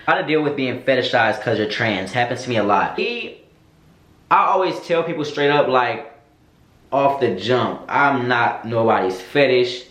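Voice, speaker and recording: A man's voice: mid-range voice, fairly bright tone. Sassy young man in an echoey room. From United States. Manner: sassy, candid, slangy, addresses viewers as girl. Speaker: vlogger. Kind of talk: casual Q&A chat to camera.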